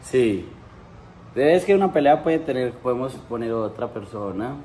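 A man's voice: mid-range voice, lively intonation, moderate pace, dark tone, a little hiss or hum. A young man from Colombia, chatting and joking on a live stream as he shows around his house at night. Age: young adult. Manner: joking, teasing, playful. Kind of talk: casual live-stream chat. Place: house at night, indoors and outdoors.

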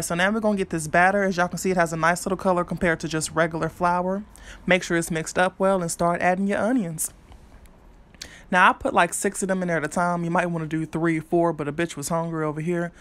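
A young woman hosting her own cooking vlog, chatting brashly and cursing freely as she talks viewers through a recipe in her home kitchen. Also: fairly low pitch. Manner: brash, profane, chatty, speaks straight to viewers. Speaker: cooking vlogger. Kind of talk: casual cooking walkthrough.

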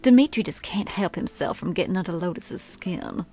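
A flirty belle. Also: female voice, on the low side, lively intonation, moderate pace, mellow, faint music behind. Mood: teasing. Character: flirtatious Southern belle woman, sugary and teasing. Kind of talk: video game character dialogue.

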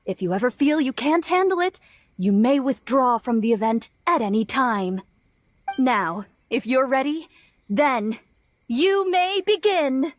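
A woman voicing a calm, formal young shrine maiden in an anime game, speaking in a measured, polite and solemn way. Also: sits high. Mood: solemn, instructing.

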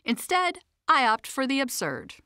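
A woman's voice: high voice, huge pitch swings, unhurried, pushed and bright, no room sound, studio quality. A woman reading her own self-help audiobook in a conversational, emphatic and playfully informal way.

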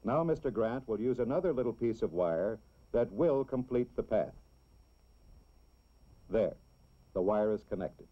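An American man reads scripted narration in the style of a 1960s educational film, explaining things simply and deliberately for children. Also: lowish voice, expressive. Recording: dull, lo-fi old film soundtrack.

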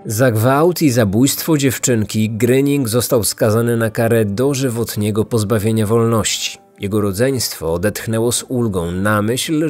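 A man narrates a true-crime podcast from a prepared script. His voice sits at a middling pitch, with faint music underneath. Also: darkish tone.

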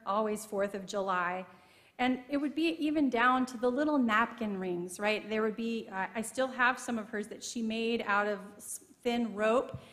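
A woman's voice: mid-range voice, fairly bright tone. Woman, middle-aged, pastor, conversational sermon, pulpit mic, reverberant church sanctuary. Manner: conversational storytelling from the pulpit, gesturing. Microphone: pulpit microphone.

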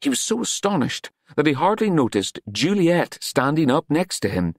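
A man's voice: a little high, very animated, moderate pace, no echo, clean studio sound. A man reading scripted fiction as an audiobook narrator, in a dramatic storytelling style.

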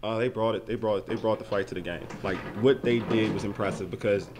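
Deep-voiced man giving fight analysis. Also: a little fast, background noise. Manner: animated, repeats words while thinking. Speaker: boxing analyst guest. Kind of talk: post-fight interview. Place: event backdrop at an arena venue, indoors. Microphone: handheld mic.

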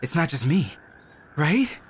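A woman's voice: low-pitched, sing-song, slow, a little hiss or hum, full character voice. A woman playing an odd, eerily fixated person who hears voices, in an audio drama. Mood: eerie, insistent.

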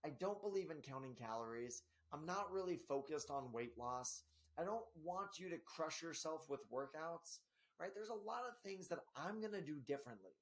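Upbeat health coach, slightly scratchy. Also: male voice, on the high side. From Spokane area, US. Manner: energetic, gestures a lot, frequent tag "right?". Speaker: podcast host and health coach. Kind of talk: solo podcast monologue. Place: small office in front of a banner.

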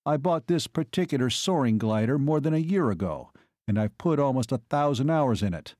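Man as seasoned army captain, flat, matter-of-fact, dark mellow voice, dry storybook sound. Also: mid-range voice, normal speed, dry recording, lightly acted. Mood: businesslike. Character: steady, stoical veteran male army captain.

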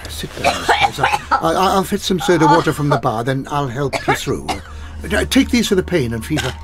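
An actor playing an anxious, formal man in charge of survivors in an audio drama, speaking in a measured, hesitant way. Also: fairly high pitch, animated, lightly acted. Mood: concerned.

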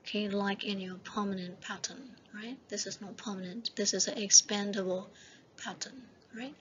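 A woman lecturing over slides for a university class, often checking in with "right?" and slowing down to read slide headings. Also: medium pitch, fairly even intonation, a bit bright. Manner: repeats "right?" and "okay?" as checks. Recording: dull lo-fi voice-over with faint hiss.

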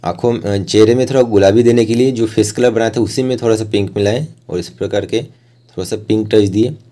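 A man with an Indian accent narrating a step-by-step art tutorial informally, in short phrases with hesitant pauses. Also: on the low side, steady tone, dark tone.

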